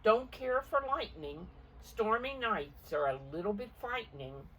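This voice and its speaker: A woman plays a nervous storybook goose, raised in pitch and emphatic. The rhymes swoop up and down, heard from a slight distance. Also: animated, measured pace, fairly bright tone, a little hiss or hum. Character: nervous honking goose afraid of storms.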